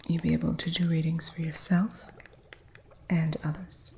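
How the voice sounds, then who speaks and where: A woman voicing an eccentric, possessive witch who runs a curio shop, speaking in soft, unhurried ASMR whispers close to the microphone with a stern, territorial edge. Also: deep voice, level tone, creaky, a little hiss or hum. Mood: hushed whispering. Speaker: ASMR roleplay artist.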